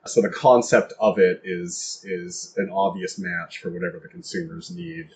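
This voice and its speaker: A man's voice: sits low, big pitch swings, normal speed, creaky. A man chatting casually as an interview guest, talking in a rambling, self-deprecating way. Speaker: guest playwright and author. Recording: dull lo-fi recording with faint noise.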